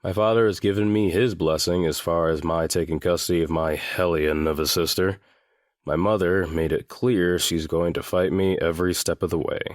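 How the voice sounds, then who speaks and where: A man playing a guarded seventeen-year-old boy in a full-cast audiobook, speaking in a deliberate, matter-of-fact way. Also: low-pitched, no echo, clean studio sound, natural voice. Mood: frank, determined. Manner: deliberate, matter-of-fact, swears now and then.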